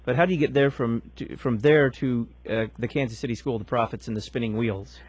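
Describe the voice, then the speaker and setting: A man's voice: mid-range voice, fairly quick, breathy edge, dry recording. Man pressing with inquisitive questions. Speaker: host. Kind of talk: probing interview questions.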